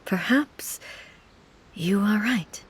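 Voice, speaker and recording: An actress playing a composed, regal female warrior ruler in a game, speaking in a formal, measured and dignified way. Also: mid-range voice, slight background noise. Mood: soft. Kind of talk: game voice acting.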